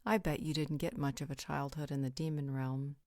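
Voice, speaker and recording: A woman's voice: low voice, fairly even intonation, fairly quick, darkish tone, no echo, clean studio sound. A woman playing a playful, caring member of a supernatural pack in a roleplay audio drama, teasing and reassuring. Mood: amused, knowing.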